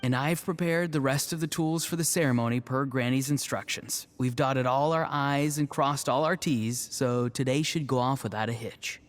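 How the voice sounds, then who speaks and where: A man's voice: mid-range voice, fairly even intonation, moderate pace, few pauses, close to an everyday voice. A man playing an earnest, dutiful young apprentice with a dry teasing streak, plainspoken, in a video game cutscene. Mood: confident. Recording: faint music behind the voice.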